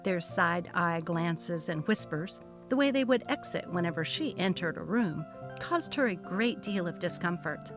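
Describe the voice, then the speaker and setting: A woman narrating a short story as a dramatic, gossipy reading, expressive in her delivery. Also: on the low side, unhurried, over music.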